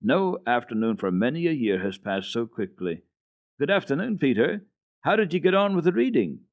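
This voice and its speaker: A man voicing a gentle, mournful old blind grandmother with a plaintive delivery, in a storybook reading. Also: mid-range voice, sing-song, moderate pace, dry recording, muffled recording, pitched above the performer's own voice. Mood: surprised.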